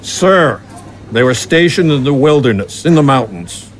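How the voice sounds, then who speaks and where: An actor playing a dutiful general in an audio drama, speaking with measured, deferential military formality to a superior. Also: male voice, medium pitch, lively intonation, slight background noise, natural voice. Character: dutiful male general defending himself to his superior.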